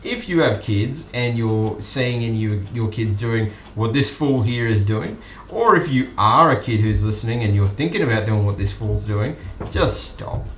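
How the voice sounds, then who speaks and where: An Australian man hosting an online news stream, commenting casually and off the cuff in a halting, musing way. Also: on the low side, animated, fairly bright tone, slight background noise. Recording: roomy echo with music behind.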